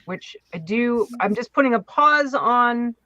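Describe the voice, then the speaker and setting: A woman's voice: fairly high pitch, lively intonation, a little slow, bright, forceful tone, no echo, muffled recording. A woman co-hosting a casual interview show. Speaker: co-host. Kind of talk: casual chat.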